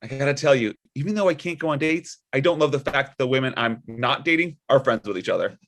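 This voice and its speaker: A man's voice: mid-range voice, fast, a bit bright, natural voice. A man playing an affable, easily flustered older church deacon and father in a comedy table read. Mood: sheepish, defensive.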